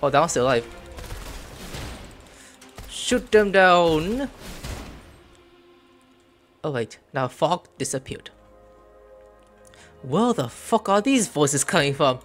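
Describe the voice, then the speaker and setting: A young man casually commenting on gameplay, joking and getting loud when excited. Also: fairly high pitch, takes it slowly, long pauses, soft background music. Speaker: gaming streamer.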